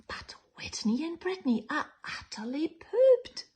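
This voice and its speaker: Slow, very animated woman reading a picture book. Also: high-pitched. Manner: playful, theatrical, leaning into dramatic whispers and drawn-out words. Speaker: children's storytime reader.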